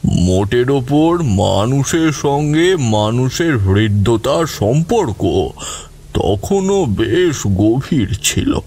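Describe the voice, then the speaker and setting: A man plays an old grandfather telling a horror story. His voice is husky and slow, swinging expressively as he muses over grim memories with suspense. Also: medium pitch, very animated, darkish tone, heavily acted. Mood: grave, reminiscing. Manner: measured, suspenseful, conversational elder's musing. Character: old grandfather, bold adventurer recounting eerie memories. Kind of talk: dramatic first-person horror storytelling.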